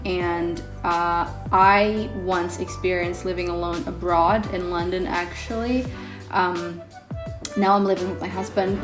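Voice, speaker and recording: A young woman vlogger whose first language is Indonesian, talking casually to her viewers with many pauses and ums. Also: fairly low pitch. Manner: hesitant, many ums, reflective. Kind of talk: casual vlog talk to camera. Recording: echoey room with faint music.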